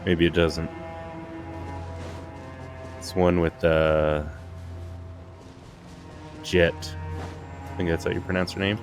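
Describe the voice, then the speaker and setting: A man's voice: low-pitched, little pitch movement, takes it slowly, pauses often, over music. A man giving casual commentary as he plays a video game. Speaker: gaming streamer.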